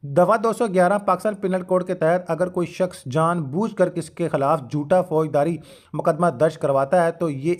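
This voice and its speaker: A man with a Pakistani accent lecturing on law, formally reading out notes and breaking off to explain points directly to students. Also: fairly high pitch. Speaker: law lecturer and channel host. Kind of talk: lecture mixing read-out legal notes with explanatory asides.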